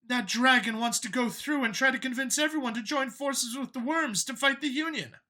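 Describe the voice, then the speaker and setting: Casting: worried sci-fi mother played by a man, raised pitch, earnest and hesitant delivery. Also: moderate pace, sharp tone, full character voice. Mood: anxious, earnest. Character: Vincent's mother, earnest, anxious woman, pleading softly. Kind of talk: audiobook character voice in science fiction story.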